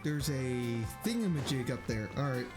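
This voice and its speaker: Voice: mid-range voice, expressive, normal speed. A game streamer giving casual, offhand gameplay commentary, often muttering. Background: game music.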